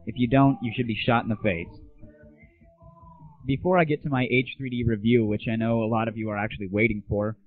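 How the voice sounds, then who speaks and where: A man's voice: medium pitch, no echo. Brash, irreverent male host, tinny sound. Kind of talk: casual monologue.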